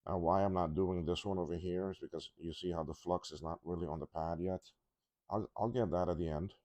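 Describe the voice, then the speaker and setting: A man talking casually through a soldering job at his workbench, halting and rambling, his voice a little congested from a cough. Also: low voice, steady tone, a little mellow, dry recording. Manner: rambling, halting, with many restarts and ums. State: congested, slightly rough from a cough. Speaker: electronics repair hobbyist.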